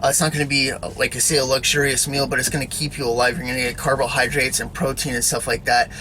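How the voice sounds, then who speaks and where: Bright-voiced rambling man preaching casually. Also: mid-range voice, little pitch movement, fast talker, slight background noise, rough recording. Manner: rambling, repeats "all right?" and "you know". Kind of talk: casual spoken sermon to camera.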